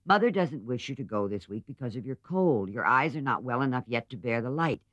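A man voicing a gentle older sister who speaks soothingly in a storybook reading. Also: medium pitch, lively intonation, brisk, no room sound, lo-fi sound. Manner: soft and soothing.

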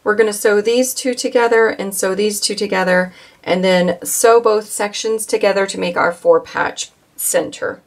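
A woman calmly walking viewers through a quilt block step by step, with occasional fillers as she works. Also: mid-range voice, moderate pace. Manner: calm, instructive, with occasional fillers. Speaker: quilting instructor. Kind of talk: step-by-step craft tutorial.

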